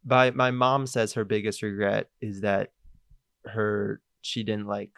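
A young man co-hosting a podcast in relaxed, hesitant casual chat. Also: lowish voice, slow, creaky, clear, resonant tone, no echo, studio quality. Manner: relaxed, hesitant, many fillers. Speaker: podcast co-host.

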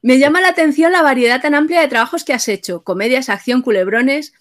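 A woman's voice: sits high, a little fast. A woman interviewing guests casually and reading out audience questions.

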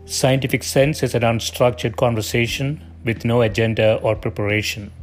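A man's voice: mid-range voice, fairly even intonation, normal speed, faint music behind. A man hosting a podcast, reading a set introduction and then talking casually with many hesitations. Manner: hesitant, many fillers in conversation. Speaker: podcast host.

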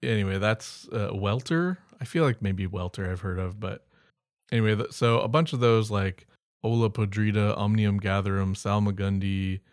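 A man with a fairly low voice chats casually as a podcast co-host. He rambles through word lists with offhand, humorous asides, recorded dry and close. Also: normal speed, no echo.

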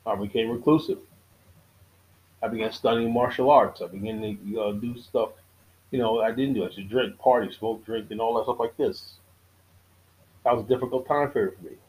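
A middle-aged man hosting his own podcast, giving a casual monologue with a preachy tone, repeating key lines for emphasis, often halting and restarting. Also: on the low side, a little mellow, a little hiss or hum, rough recording. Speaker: podcast host.

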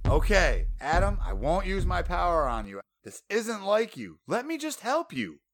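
A woman's voice: on the low side, wildly swooping pitch, normal speed, dry recording, exaggerated voice. A woman playing an earnest, exasperated special agent with a casual, emphatic delivery, in an audio drama. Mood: pleading.

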